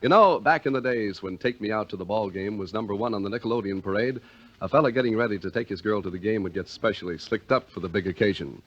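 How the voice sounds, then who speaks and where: A man's voice: fairly low pitch, fast, fairly bright tone. A man working as a 1950s radio announcer, reading scripted copy in a polished, theatrical, emphatic way. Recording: dull vintage radio recording.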